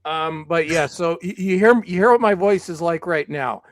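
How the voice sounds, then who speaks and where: Hoarse, blunt-talking man. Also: a little high, normal speed, dry recording. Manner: blunt, sweary, ranting. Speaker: video commentator. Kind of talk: casual chat.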